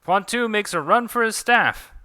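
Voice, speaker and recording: Fantasy duel announcer, done by a man, showy and excited, pushed high, bright and forceful. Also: moderate pace, smooth, no room sound, clean studio sound, heavily acted. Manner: showy, dramatic play-by-play.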